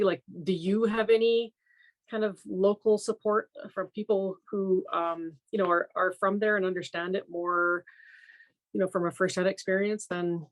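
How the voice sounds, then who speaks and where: A woman interviewer asking gentle, searching questions, hedging with fillers as she goes. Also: on the low side, vocal fry, low-quality recording. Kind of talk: conversational interview.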